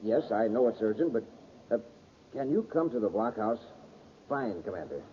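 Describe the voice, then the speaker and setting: A man's voice: lowish voice, big pitch swings, normal speed. An actor playing a courteous, agitated scientist in a 1950s radio serial, formal and somewhat hesitant in his speech. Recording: muffled, noisy old-time radio recording.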